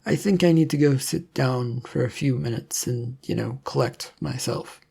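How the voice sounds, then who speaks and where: A narrator voicing a weary, defensive, reluctant male hero with a halting, protesting manner in a story. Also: medium pitch, gravelly, darkish tone, slightly rough. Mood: hesitant.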